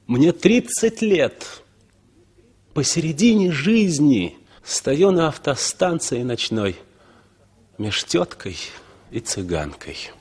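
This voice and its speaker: A man's voice: medium pitch, a little mellow, breathy edge, heavily acted. An actor playing a scornful, world-weary man in his thirties, declaiming a poetic inner monologue in a radio play. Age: 30s. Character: brooding, scornful thirty-year-old unshaven traveller at a bus station.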